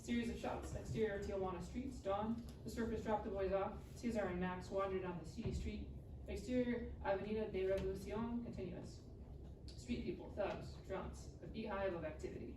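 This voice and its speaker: A woman's voice: medium pitch, fairly even intonation, brisk. A woman reading out scene headings and stage directions as narrator at a live comedy table read. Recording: echoey room, distant mic, clear noise.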